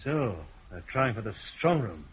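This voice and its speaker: An actor playing a steady police detective in a radio drama, speaking in a level, firm way. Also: male voice, mid-range voice, unhurried, husky, full character voice. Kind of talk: 1970s radio drama acting. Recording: muffled old radio broadcast.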